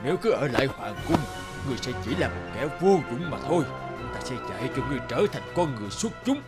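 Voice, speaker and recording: A man's voice: medium pitch, very animated, quick pace, music in the background, natural voice. A man voicing a stern, commanding wild forest creature who acts as mentor in a cartoon. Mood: stern, instructing. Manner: commanding, bargaining.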